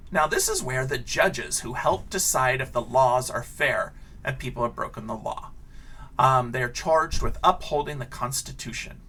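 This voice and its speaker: A man's voice: fairly high pitch, sharp tone, breathy edge. An American man speaking as an elementary school teacher, reading aloud and explaining things simply to young children.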